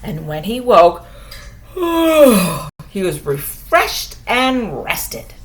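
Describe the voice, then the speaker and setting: A woman reading a bedtime story aloud to young children as a storyteller, expressive, with dramatic pauses and questions to her listeners. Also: mid-range voice.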